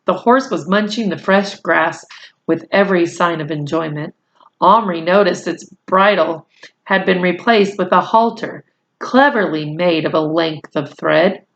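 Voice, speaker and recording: A woman reading a children's novel aloud in a deliberate, measured storytelling manner. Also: lowish voice, lo-fi sound. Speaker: storybook reader.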